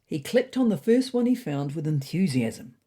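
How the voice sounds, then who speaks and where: A woman's voice: low voice, very animated, normal speed, mellow, slight background noise. A woman narrating a scripted comic story.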